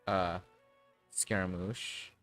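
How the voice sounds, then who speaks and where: A man's voice: sits low, little pitch movement, extremely slow, a bit bright, soft background music. Wry young male game streamer. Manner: wry, reading aloud and joking. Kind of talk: casual livestream reaction.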